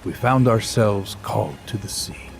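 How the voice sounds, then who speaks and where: A man voicing a grave male scientist who narrates a sea voyage in an audio drama, with measured, dramatic pauses. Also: lowish voice, expressive, gravelly, faint music behind. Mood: solemn.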